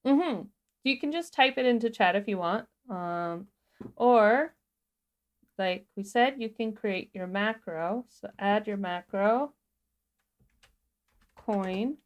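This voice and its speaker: A woman co-hosting a livestreamed tutorial, informally instructing and talking through each step as she does it. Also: medium pitch. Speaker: livestream co-host and software instructor. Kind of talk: casual step-by-step live tutorial.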